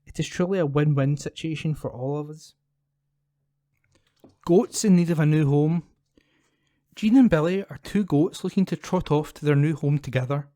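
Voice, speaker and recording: A man's voice: a little high, moderate pace, long pauses, warm tone, dry recording, clean studio sound. A man reading local newspaper stories aloud from a script as a volunteer reader. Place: at home.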